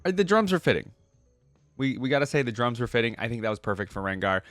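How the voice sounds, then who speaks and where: A man's voice: mid-range voice, sing-song, quick pace, a bit bright, soft background music. A man hosting a reaction video, giving casual, informal commentary and thinking aloud as he goes. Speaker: video host reacting to game content.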